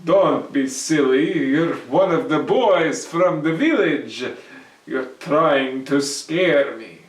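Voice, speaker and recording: A man plays a brave storybook hero who scoffs at monsters. He talks loudly in a fairly high voice, taunting and dismissive. The sound is roomy and a little distant. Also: slow pace. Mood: scoffing. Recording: roomy, echoing, distant mic.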